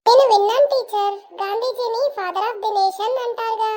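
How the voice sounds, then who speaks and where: Squeaky high cartoon voice. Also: fairly even intonation, moderate pace, darkish tone. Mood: cheeky. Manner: chirpy, squeaky cartoon delivery. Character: cheeky schoolboy answering the teacher. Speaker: channel host and cartoon voice. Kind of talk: cartoon joke sketches.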